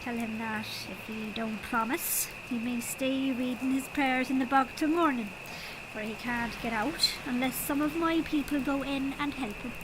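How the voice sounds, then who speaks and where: Woman playing a high-pitched fiery leprechaun king. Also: fairly even intonation, normal speed, background noise, natural voice. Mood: gleeful, crafty. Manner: indignant, old-fashioned Irish storybook phrasing. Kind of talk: audio drama character acting.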